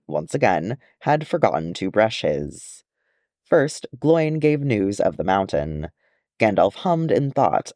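A man reading fantasy fiction aloud as an expressive storyteller. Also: medium pitch, dry recording, clean studio sound. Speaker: amateur audiobook reader. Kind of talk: expressive audiobook narration of fantasy fan fiction.

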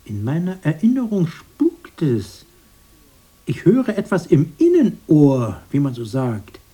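A grown-up fairy-tale brother, querulous and full of his own importance. The voice is dark and husky, swinging widely in pitch as he declaims and corrects in radio-play style. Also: huge pitch swings, slow, full character voice. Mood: puzzled, querulous. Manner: emphatic, pedantic, declaiming. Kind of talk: German radio drama acting.

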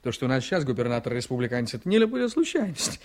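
A man's voice: mid-range voice, big pitch swings, rapid-fire, natural voice. An actor playing an ambitious, jovial, scheming district attorney in a radio drama, theatrical and exclamatory, repeating his phrases. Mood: calculating. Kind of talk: Soviet-style radio drama acting.

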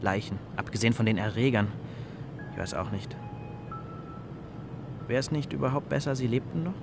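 Actor as young guy in a radio play, ironic, questioning everything, flippant, clear music bed behind him. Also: mid-range voice, normal speed, close to an everyday voice. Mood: flippant, musing. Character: sceptical, flippant young man, needling his partner with questions. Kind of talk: German radio play dialogue.

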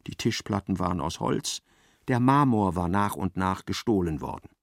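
An actor playing a dry storyteller, giving measured, precise literary narration in a radio play. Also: male voice, low voice, animated, creaky, dry recording.

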